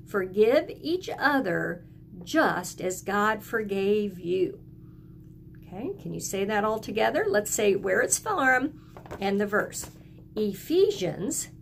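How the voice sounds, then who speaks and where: Woman, primary school teacher, animated intonation, simple encouraging phrases, instructional, faint background noise. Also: mid-range voice, creaky. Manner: instructional, prompting listeners to repeat after her. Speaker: early elementary school teacher. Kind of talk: remote lesson for young children.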